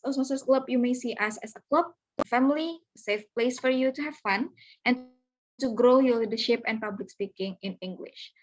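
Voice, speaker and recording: Higher-voiced woman presenting slides. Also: a bit bright, muffled recording. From Indonesia. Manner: earnest, welcoming, talks through slides step by step. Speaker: club officer presenting. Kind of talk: online club presentation. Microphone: video call.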